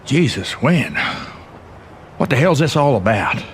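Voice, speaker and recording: An actor playing a guarded, irritated senator who talks bluntly in everyday conversational tones. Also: male voice, mid-range voice, big pitch swings, measured pace, a little hiss or hum. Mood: startled, annoyed. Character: wary, irritated male senator resisting pressure.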